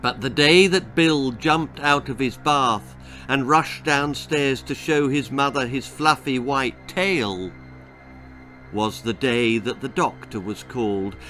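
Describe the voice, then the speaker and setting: A man's voice: medium pitch, takes it slowly, pushed and bright, soft background music. A British man in his sixties reading a children's story aloud with dramatic narration. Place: small indoor room lined with bookshelves.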